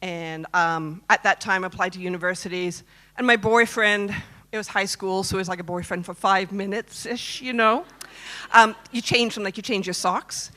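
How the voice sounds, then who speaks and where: A woman's voice: medium pitch, steady tone, normal speed, sharp tone. Woman, 50s, from Canada; conference speaker, chatty storyteller who asks the audience questions. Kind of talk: personal story talk to a live audience. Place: conference stage.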